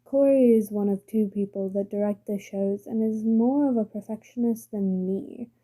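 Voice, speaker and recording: A woman's voice: mid-range voice, moderate pace, rough recording. Woman reading with a strained voice. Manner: soft, careful reading with small slips. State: strained, failing voice. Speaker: story reader. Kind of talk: reading a story aloud.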